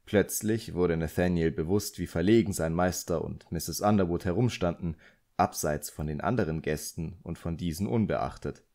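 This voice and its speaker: A man's voice: rumbling low, darkish tone. A man narrating a fantasy novel as a scripted audiobook.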